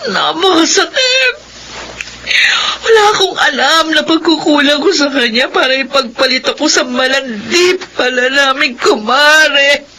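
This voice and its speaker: A woman's voice: high voice, measured pace, sharp tone, a little hiss or hum. An actress with a Filipino accent playing a wounded, fiery betrayed wife and mother in an emotional melodrama. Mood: anguished, crying. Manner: emotional, dramatic delivery.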